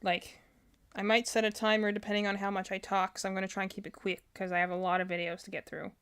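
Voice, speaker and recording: A woman's voice: mid-range voice, little pitch movement, fast, fairly bright tone, smooth. A woman hosting a livestream in a casual, rambling way, thinking aloud and often correcting herself as she goes. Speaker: livestream host.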